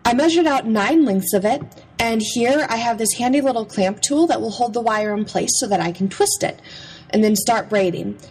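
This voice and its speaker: A woman's voice: mid-range voice, a little mellow, low-quality recording. A young adult woman giving a casual, chatty, playful voice-over about a craft process. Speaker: craft vlogger.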